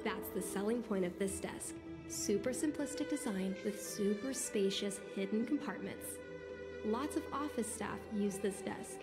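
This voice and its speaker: Woman acting an earnest, unhurried young daughter. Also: mid-range voice, music in the background, natural voice. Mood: curious. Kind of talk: video game voice acting.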